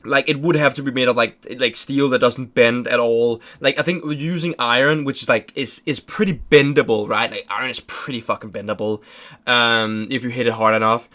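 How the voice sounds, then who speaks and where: Young danish guy streaming a reaction, rambly, swears a lot, repeats stuff, normal pitch. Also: steady tone, moderate pace, sharp tone. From Denmark. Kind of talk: casual reaction commentary.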